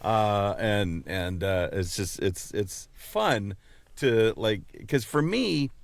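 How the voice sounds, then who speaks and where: Low-pitched man, podcast host, casual chat, halting delivery, repeated words, very dry recording. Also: lively intonation, creaky, dry recording.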